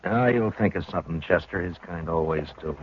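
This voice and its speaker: An actor playing a steady frontier marshal who also narrates, speaking low, measured and gentle in a 1950s radio drama. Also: male voice, breathy edge. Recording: muffled vintage radio broadcast.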